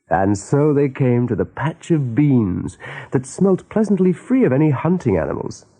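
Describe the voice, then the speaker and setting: A man narrating a radio play as a dramatic storyteller, with a mellow, husky voice. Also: mid-range voice.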